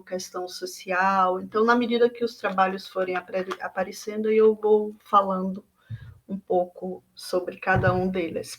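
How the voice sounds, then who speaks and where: A Brazilian woman artist from Bahia, talking through her work in a reflective, halting way with many fillers and restarts. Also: mid-range voice. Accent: Brazilian Portuguese. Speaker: visual artist.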